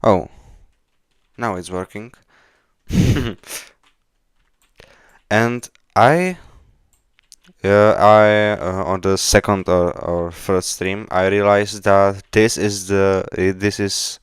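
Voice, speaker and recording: Very slow, low-voiced Czech man. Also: steady tone, clean tone, dry recording, clean studio sound. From Czech Republic. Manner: halting, hesitant non-fluent English. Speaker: game developer live streamer. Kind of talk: casual live coding stream chat. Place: indoors at a computer, keyboard clicks behind.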